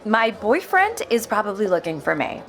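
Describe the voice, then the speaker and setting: A woman's voice: mid-range voice, sing-song, normal speed, a bit bright, faint music behind, a faint crowd. A woman playing a prickly, dismissive young party guest in a sitcom podcast, curt and scornful.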